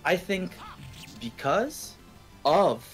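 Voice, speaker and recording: A man's voice: sits high, very animated, drawn-out words. A man musing in a rambling, slangy way during a casual stream chat. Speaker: stream guest. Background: game music.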